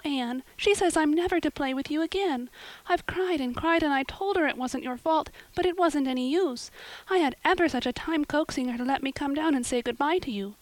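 A woman's voice: high-pitched, dry recording, pitched above the performer's own voice, rough recording. A devoted young girl from a storybook, played by a woman reader, with a raised, plaintive voice. She is loyal and earnest and puts emphasis into what she says, recorded dry and close. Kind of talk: audiobook character voice.

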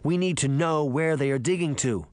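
A man's voice: on the high side, normal speed, clear, resonant tone, no echo, lightly acted. A man voicing a brave, bossy young girl who is practical, direct and decisive. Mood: urgent, decisive.

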